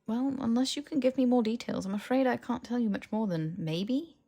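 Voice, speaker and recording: A woman voicing a brisk, bookish, sceptical young woman in an audiobook, explaining and questioning with precision. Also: a little high, mellow, pitched above the performer's own voice. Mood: thoughtful, explaining. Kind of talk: audiobook character dialogue.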